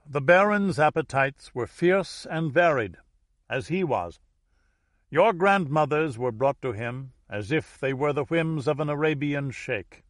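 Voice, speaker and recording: A man voicing a formal, self-assured Dutch heir who addresses his relatives in a deliberate, commanding way, as in an audiobook thriller. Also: on the high side, lively intonation, dry recording, clean studio sound, pitched above the performer's own voice. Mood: proud.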